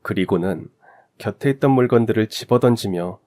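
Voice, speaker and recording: Low-voiced man narrating a story. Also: fairly quick, warm tone. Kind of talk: scripted first-person story narration, radio drama.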